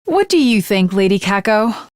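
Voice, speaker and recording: A woman's voice: medium pitch, sing-song, normal speed, darkish tone, dry recording, clean studio sound, lightly acted. A woman voicing an earnest, lovestruck teenage girl swordswoman in an anime video game, with formal, archaic, dramatic diction. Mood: puzzled, wary.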